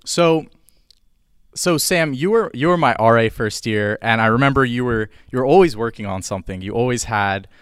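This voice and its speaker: A man's voice: medium pitch, normal speed, a bit bright, smooth, dry recording, studio quality. A young man hosting a casual interview, asking easygoing, friendly questions. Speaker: interview host.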